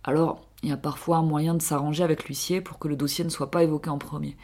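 Woman, low voice, measured delivery, scripted radio column. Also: flat delivery, a little mellow.

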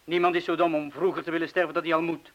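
An actor playing a weary, irritable middle-aged man in a radio drama, speaking haltingly in broken-off phrases. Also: fairly high pitch, level tone, muffled recording, natural voice. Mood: weary, grave.

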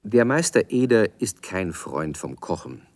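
A man's voice: lowish voice, sing-song, unhurried, a little mellow, faint music behind. A man narrating a scripted children's story in a radio play.

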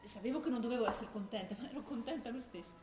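A woman playing a troubled young militant, softly confiding. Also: a little high, rapid-fire, roomy sound, music in the background, lightly acted. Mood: dreamy. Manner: confiding, dialect-tinged Italian. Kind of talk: radio broadcast of a stage play.

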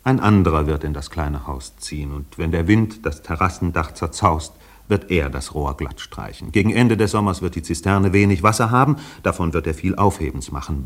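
An actor playing a grave, reflective narrator of an island tale, measured and lyrical, in a literary radio play. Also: male voice, rumbling low. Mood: resigned.

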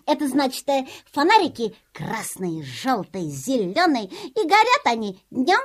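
A woman's voice: high voice, sing-song, unhurried, full character voice. An actress voicing a naive, good-natured little monster boy with hesitant, muddled, eager childlike speech in an audio play. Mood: proud, hesitant.

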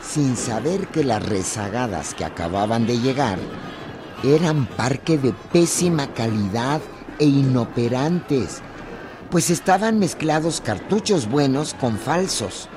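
A man's voice: mid-range voice, lively intonation, takes it slowly. A man reading scripted historical documentary narration. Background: music.

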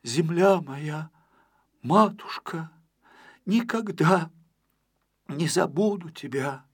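A man's voice: a little high, slow pace, slightly rough, no room sound, clean studio sound, voice pushed up, heavily acted. A man voicing a frail, overexcited little fairy-tale boy, pleading breathlessly and emotionally. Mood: tearful, tender.

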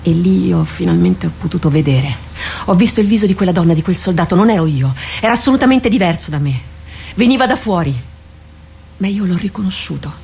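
An actress playing an anguished, loyal army captain in a radio drama, speaking haltingly and with theatrical fervour. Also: female voice, on the low side, expressive, creaky, darkish tone, a little hiss or hum. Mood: hushed, searching. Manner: impassioned, halting, repeats phrases for emphasis. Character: anguished female army captain, loyal soldier wrestling with herself.

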